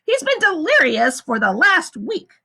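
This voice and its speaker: A woman reading aloud, voicing a defensive, lying camp warden in a high, indignant voice. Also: wildly swooping pitch, unhurried, vocal fry, sharp tone, full character voice.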